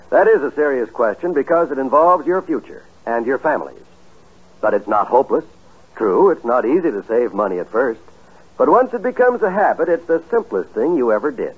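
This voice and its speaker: A man's voice: mid-range voice, husky. Tinny 1950s radio announcer man.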